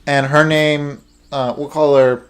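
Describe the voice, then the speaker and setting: A man's voice: fairly high pitch, little pitch movement, slow pace. A young adult man telling a casual story while playing a video game, rambling and jokey, often sidetracking himself. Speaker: gaming video host. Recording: music behind the voice. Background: video game music.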